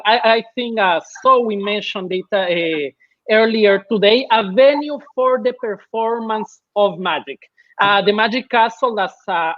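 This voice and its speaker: A man's voice: high voice, a bit bright, no echo, lo-fi sound. Man. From Ecuador. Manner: slow, careful, with halting restarts. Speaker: magician guest. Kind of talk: live-stream interview.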